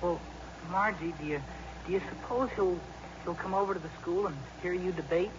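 Bashful teenage boy played by a man, halting and awkward, dull-sounding vintage radio drama. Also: sits high, lightly acted. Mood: awkward, sheepish. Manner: hesitant, halting. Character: shy, awkward, stammering teenage friend. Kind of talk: 1950s radio acting. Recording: dull, noisy old radio broadcast.

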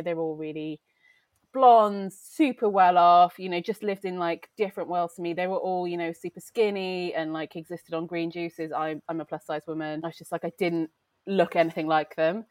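A woman with a light Welsh accent talks through her career in a relaxed, conversational way, often pausing on fillers and restarting sentences. Her voice sits a little low, and the recording is close and dry with no room sound. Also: no room sound. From Wales. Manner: chatty, reflective, frequent fillers and self-corrections. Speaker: beauty journalist, guest. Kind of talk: casual conversational interview.

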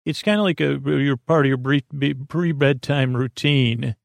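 Man, heavy vocal fry, bedtime rambler, meandering and repetitive, very dry sound. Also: mid-range voice, no echo. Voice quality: creaky, gravelly. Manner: meandering, halting, repeats and trails off, whimsical. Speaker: host of a bedtime sleep podcast. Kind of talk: rambling bedtime podcast monologue.

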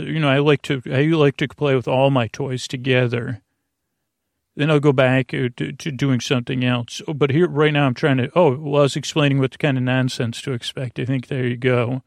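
A man hosting a bedtime podcast in a creaky, lulling voice, meandering and halting through tangents and self-interruptions. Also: medium pitch, dry recording, studio quality. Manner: soothing, lulling. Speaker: podcast host. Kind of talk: rambling bedtime monologue to help listeners sleep.

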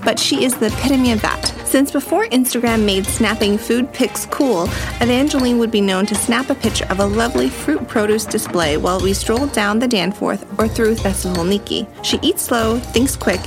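A woman's voice: a little high, moderate pace, few pauses, music in the background. A woman hosting a casual food podcast, bubbly and gushing as she chats with a friend. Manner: bubbly, gushing, laughs often. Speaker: podcast host.

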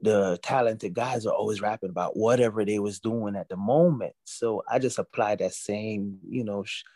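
A man in his forties from Jamaica, raised in Brooklyn, chatting as an interview guest, relaxed and full of "you know.". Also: fairly low pitch, normal speed, dry recording, studio quality. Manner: relaxed, repeats himself, many "you know"s. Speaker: guest musician. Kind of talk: casual interview talk.

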